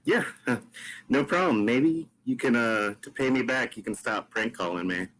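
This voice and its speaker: A man's voice: medium pitch, normal speed, a bit bright, rough recording. A man reading the part of a woman, the hero's ex-girlfriend, in an amateur podcast table read, with a hesitant, stumbling delivery.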